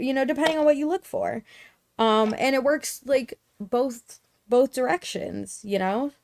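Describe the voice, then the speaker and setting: A woman streamer chatting casually and rambling with lots of fillers, tired and with her voice going hoarse. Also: mid-range voice. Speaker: craft streamer. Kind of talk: casual livestream chat.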